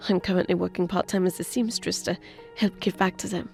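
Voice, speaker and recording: A woman playing a gentle, earnest and hesitant young lady in a romantic audio drama. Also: on the low side, fairly even intonation, brisk, creaky, soft background music. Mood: sympathetic, earnest. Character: sweet, shy, earnest young woman, a seamstress.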